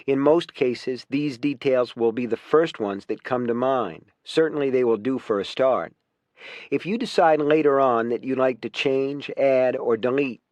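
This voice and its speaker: Male audiobook narrator for nonfiction: measured, clearly phrased delivery, average pitch, very dry studio-like sound. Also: darkish tone, no room sound. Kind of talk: scripted audiobook narration of a writing guide.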